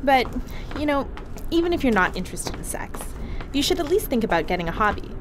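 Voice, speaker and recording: A woman playing a lively, crude crewwoman. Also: sits high, fairly quick, background noise. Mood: casual. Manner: casual, swearing, laughing. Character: chatty, crude young crewwoman on a ship. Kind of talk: audio drama acting.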